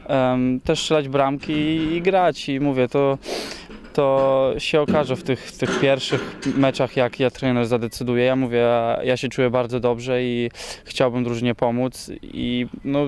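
A young man, a football player, giving an interview outdoors at a training ground, halting and full of fillers and repeated words. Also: medium pitch, flat delivery, darkish tone. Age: young adult.

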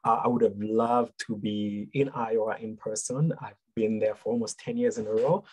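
A man's voice: fairly low pitch, steady tone, moderate pace, darkish tone, husky, low-quality recording. A middle-aged man from Tanzania answering interview questions over a video call, earnest and reflective, often restarting his words. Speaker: guest speaker.

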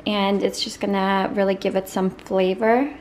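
A young woman talks through a home recipe in a loose, friendly way, with an occasional um or like. She sounds relaxed and conversational. Faint household ambience sits behind her voice. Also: medium pitch, normal speed, a little hiss or hum. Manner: relaxed, with fillers like um and like. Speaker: lifestyle vlogger, stay-at-home mom. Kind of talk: casual recipe walkthrough. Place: home kitchen, indoors.

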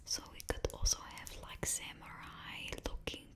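A woman's voice: moderate pace, natural voice. A young woman voicing a fussy female stylist to a super villain in a put-on Russian accent, whispering close to the mic in a coaxing, sales-like way and dropping articles. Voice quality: broken grammar, drops articles. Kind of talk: ASMR roleplay whispering. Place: small indoor studio before a backdrop. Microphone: close sensitive mic, face near camera.

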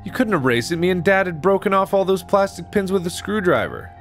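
A man's voice: sits high, animated, fairly quick, soft background music, voice pushed up. A man voicing a stubborn, gleeful little sister in a horror game, insistent and taunting. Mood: indignant.

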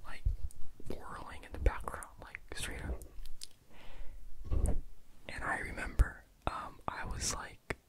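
A young adult whispers right up close to the microphone as an ASMR host. The delivery is fully unvoiced and breathy, with a loose, rambling way of chatting that is full of casual slang. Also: slow, pauses often.

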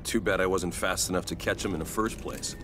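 An actor playing an eager, impulsive speedster superhero in a video game. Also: male voice, low voice, steady tone, brisk, bright, forceful tone.

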